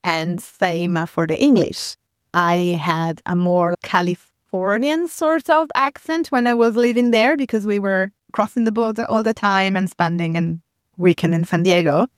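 A woman's voice: mid-range voice, a little slow, clear, resonant tone, no echo, clean studio sound. A woman guest explaining her migrant support service in a reflective interview. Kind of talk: thoughtful interview talk.

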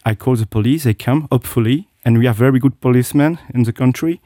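A man's voice: mid-range voice, quick pace, warm tone, no echo, clean studio sound. A middle-aged man, a guest telling his personal story with many hesitations and restarts. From South Korea. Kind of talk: interview, personal account.

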